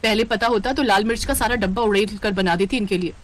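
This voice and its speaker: A woman's voice: mid-range voice, fast, close to an everyday voice. A woman voicing a young, overworked daughter-in-law who mutters with exasperation and self-pity in a dramatic story style. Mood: bitter grumbling.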